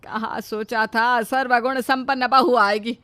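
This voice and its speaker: Fussy, status-anxious mother-in-law for a cartoon story, played by a woman; raised pitch, dramatic complaining, dry recording. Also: fairly even intonation, unhurried, sharp tone, dry recording. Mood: indignant, lamenting. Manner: scolding, lamenting, dramatic.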